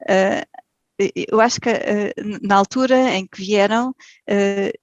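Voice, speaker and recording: A woman's voice: medium pitch, steady tone, takes it slowly, no echo, muffled recording. A woman from Portugal with a European Portuguese accent, a podcast guest talking casually and hesitantly, with many fillers and restarts. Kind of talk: casual interview.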